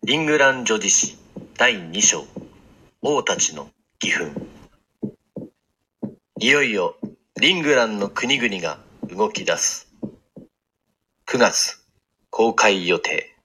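A man voicing a husky, solemn fantasy commander. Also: fairly low pitch, expressive, takes it slowly, long pauses, sharp tone, full character voice, low-quality recording. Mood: portentous. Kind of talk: dramatic fantasy audio drama trailer.

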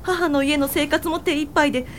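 A woman's voice: sits high, steady tone, normal speed, a little hiss or hum, lightly acted. An actress playing a distressed, sick young mother who pleads in a radio drama. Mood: anguished, pleading.

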